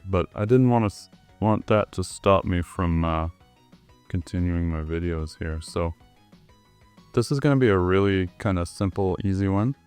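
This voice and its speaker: A man with a hoarse voice, recovering from the flu, explaining his animation work step by step with many ums and uhs. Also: low-pitched, normal speed, a little mellow, soft background music. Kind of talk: screen-recorded tutorial walkthrough.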